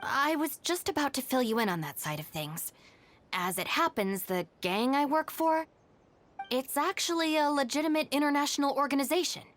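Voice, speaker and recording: A woman's voice: high voice, expressive, normal speed, a bit bright, a little hiss or hum. A woman playing a reserved, dutiful young gang deputy in a video game, polite, restrained and matter-of-fact. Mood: guarded. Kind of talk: English video game voice acting.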